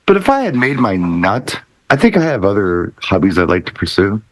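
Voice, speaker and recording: A man chatting casually as a podcast panelist, interjecting reactions and working through his thoughts haltingly. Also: lowish voice, big pitch swings, dry recording. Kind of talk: casual chat.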